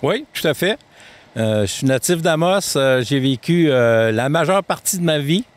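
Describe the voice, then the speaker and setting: A man with a Québécois French accent, a political candidate answering interview questions in a measured way, with frequent hesitations. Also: fairly high pitch, animated.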